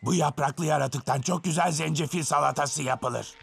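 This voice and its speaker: A man playing a haughty, menacing monster chef in a cartoon, gravelly and pompous. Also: on the high side, moderate pace, fairly bright tone, a little hiss or hum. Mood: disdainful. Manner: pompous, commanding. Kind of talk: Turkish cartoon dub acting.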